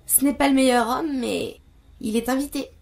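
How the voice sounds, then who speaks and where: Woman playing a bubbly, high-voiced young princess. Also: normal speed, creaky, darkish tone. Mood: playful, teasing. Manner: gushing, rambling, self-correcting. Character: young princess bride, bubbly, devoted, rambling, stubbornly hopeful sister. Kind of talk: animated-fantasy fan dub acting in French. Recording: music behind the voice.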